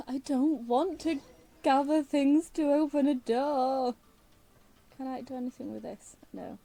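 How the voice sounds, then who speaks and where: A woman's voice: high-pitched, slow, creaky, dark tone. A woman chatting casually as she plays a video game, rambling and self-deprecating, with some swearing. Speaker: gamer commentating on her own play. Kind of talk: casual chat while playing a video game. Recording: music behind the voice. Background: video game music.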